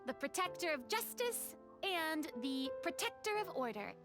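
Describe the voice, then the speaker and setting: A woman playing an opinionated, curious young woman in a video game, with an expressive conversational delivery. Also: high voice, moderate pace, over music. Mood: amused, critical. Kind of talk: scripted video game dialogue.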